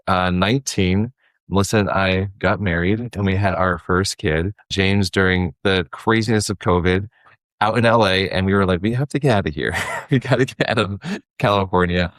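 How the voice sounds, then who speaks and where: A man's voice: low voice, a bit bright, dry recording. A man speaking as an interview guest, telling a story in a casual, informal way with quoted dialogue. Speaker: podcast guest, actor and audio drama producer.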